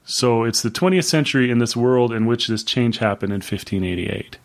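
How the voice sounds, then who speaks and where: A man's voice: medium pitch, lively intonation, moderate pace. Rambling middle-aged man, podcast co-host. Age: 50s. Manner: rambling, thoughtful, many fillers. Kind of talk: casual book chat.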